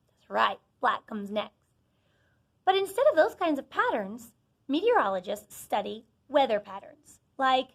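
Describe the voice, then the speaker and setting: A woman's voice: high voice, fairly bright tone, no room sound, studio quality. A woman teacher giving a read-aloud lesson to young children, encouraging them and asking questions as she goes. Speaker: elementary school teacher.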